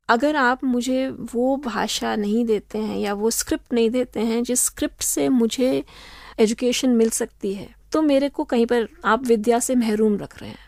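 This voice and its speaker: A woman law professor answering interview questions in a measured, explanatory way, slipping in English phrases. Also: fairly high pitch, darkish tone, no echo, clean studio sound. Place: radio studio.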